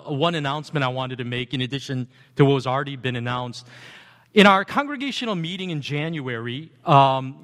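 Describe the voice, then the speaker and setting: A man speaking as a pastor, addressing his congregation. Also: fairly bright tone. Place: large church sanctuary. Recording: long hall reverb, booming sound system.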